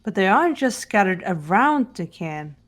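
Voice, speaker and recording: A woman's voice: mid-range voice, very animated, normal speed. Casting: woman playing analytical schoolgirl sleuth for a mystery game, mid-range, matter-of-fact and cool. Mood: calm, analytical. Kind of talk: amateur fan voice acting of a game script.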